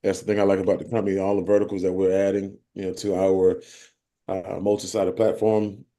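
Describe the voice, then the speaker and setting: A man with a low voice hosts a casual team call. His tone is mellow and relaxed, and he rambles with many fillers and restarts. The call audio is plain. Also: fairly quick. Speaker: host of a sales team call.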